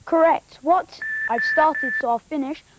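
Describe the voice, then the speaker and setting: A woman playing a young granddaughter who acts as a brisk, stern quiz show host. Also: high-pitched, very noisy. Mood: brisk, formal.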